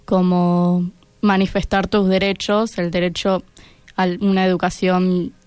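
A woman's voice: lowish voice, level tone, measured pace, darkish tone, smooth, no echo. A teenage girl with an Argentine accent from Buenos Aires, answering in a radio interview as a school student.

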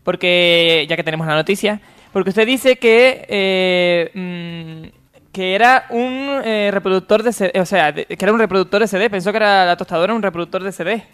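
A man's voice: high-pitched, moderate pace, a bit bright, clean tone. A man with an accent from Spain hosting a comedy call-in radio show. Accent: Spanish (Spain). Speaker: radio host.

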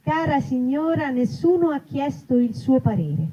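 Woman reading as a curt, high-voiced male customer. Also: sing-song, measured pace, warm tone, dull audio. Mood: curt, irritated. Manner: curt, biting. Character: sharp, irritable male customer, cutting and sarcastic.

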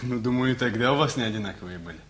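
Actor as a cheeky, calmly defiant young student. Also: male voice, mid-range voice, natural voice. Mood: quietly firm. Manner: measured, ironic, mock-formal. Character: cheeky teenage nephew, new student, calmly defiant and bookish. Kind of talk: Russian radio comedy play acting.

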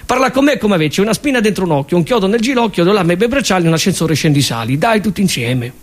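A man's voice: sits high, animated, fast talker. A man with a Roman accent performing a comic stage monologue full of absurd, rhythmic nonsense chanting.

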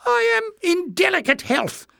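A man voicing a whining, cowardly little schemer in a shrill, squeaky, spluttering storybook voice. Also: very animated, a little slow, creaky, bright, forceful tone, no room sound, clean studio sound, heavily acted. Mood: whimpering self-pity. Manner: spluttering, whining, melodramatic pleading. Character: whining, cowardly small male schemer, screechy and wheedling. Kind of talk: acted character voice in audiobook narration.

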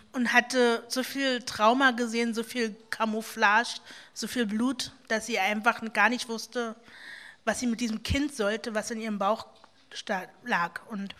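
Panel speaker, woman from East Germany; bright, slightly high voice, reflective, halting delivery. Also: steady tone. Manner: halting, reflective, many fillers and restarts. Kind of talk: personal account at a panel discussion.

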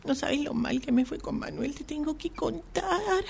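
Woman acting a high-pitched, whiny, pleading sister, Argentine. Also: moderate pace, vocal fry, a little hiss or hum. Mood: agitated. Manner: whiny, plaintive, repeats words insistently. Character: lovestruck, put-upon adult sister, pleading and self-dramatizing. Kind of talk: amateur radio drama acting.

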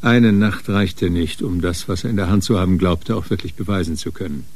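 A man narrating a radio crime drama in a measured, matter-of-fact way. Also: low-pitched. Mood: sober. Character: sober crime story narrator.

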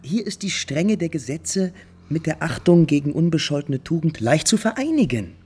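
An actor playing a smooth, scheming prince in a 1950s German radio drama, speaking in a courtly, condescending, declamatory way. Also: male voice, a little high, big pitch swings, normal speed, mellow, full character voice. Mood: persuasive. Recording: vintage 1950s radio recording.

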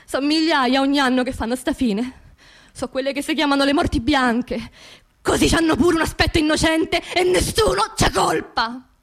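A woman's voice: sits high, creaky. An actress playing an anxious, grieving working-class woman in a theatrical radio monologue, with a Roman accent and an emotional, confiding manner. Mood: bitter, grieving. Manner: emotional, confiding, repeats words insistently.

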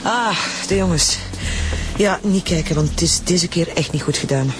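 A woman's voice: fairly low pitch, normal speed, noisy background, close to an everyday voice. A woman acting in a Flemish radio drama as an unfazed forensic examiner, frank, wry and matter-of-fact. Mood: wry, clinical.

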